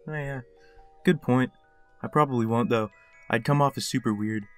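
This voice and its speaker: An actor voicing a flustered, excitable young male fan in an animated comic dub, easily exasperated and prone to stammering. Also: mid-range voice, moderate pace, faint music behind, lightly acted. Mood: tired, deflated. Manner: urgent, stammering.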